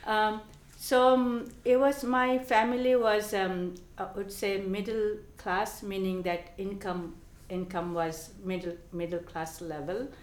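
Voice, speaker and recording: Bangladeshi woman, slow, lots of ums, oral history chat, roomy echo. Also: mid-range voice, little pitch movement. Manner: halting, many ums and restarts. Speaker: interviewee.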